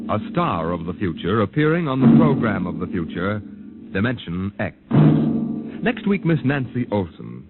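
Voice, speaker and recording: A man announcing a scripted 1950s radio promotion in a polished, emphatic manner. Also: medium pitch. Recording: tinny vintage radio recording, loud music.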